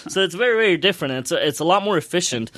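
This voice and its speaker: A man's voice: high-pitched, animated, rapid-fire, fairly bright tone, no room sound. A man co-hosting a podcast, telling stories casually with emphatic, repetitive phrasing. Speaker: podcast co-host. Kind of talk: casual storytelling.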